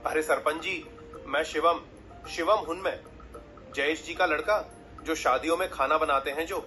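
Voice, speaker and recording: Guy voicing a polite young village husband in a cartoon, slightly high voice, pleading, music behind. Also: moderate pace, pushed and bright. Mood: respectful. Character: humble, earnest young village husband seeking work.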